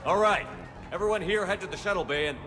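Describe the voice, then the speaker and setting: An actor playing a resolute military starship commander in a video game, with a terse, commanding way of talking. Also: male voice, sits high, quick pace, heavy background noise, close to an everyday voice. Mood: urgent. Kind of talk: video game cutscene acting. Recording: music behind the dialogue.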